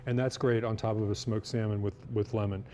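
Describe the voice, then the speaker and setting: A man with a soft-edged, mellow voice gives a casual cooking demonstration. He explains as a guest cook, feeling his way through phrases. There is a faint noise behind him. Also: lowish voice, level tone, brisk. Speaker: guest cookbook author and cook. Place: TV kitchen segment.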